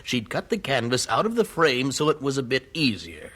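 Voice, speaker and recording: A genial, persuasive 19th-century American evangelist for an audio drama. The voice is bright and forceful with a slightly rough edge, rising and falling freely as he talks in a folksy, earnest way. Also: mid-range voice, normal speed, full character voice. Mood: amused, animated. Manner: animated, folksy storytelling.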